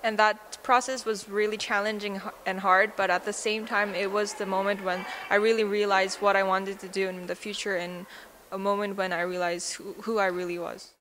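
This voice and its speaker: Even-toned teenage girl, faint background noise. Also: medium pitch, fairly quick. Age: teens. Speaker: student interviewee. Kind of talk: reflective interview answer.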